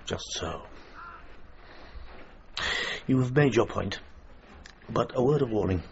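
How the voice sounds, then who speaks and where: Skeptical old-school army boss, really low voice, clipped, muffled radio drama sound. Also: male voice, animated, slow, darkish tone, full character voice. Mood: grudging agreement. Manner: clipped, authoritative, formal. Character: stern, skeptical senior male army commander. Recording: muffled radio recording with clear noise.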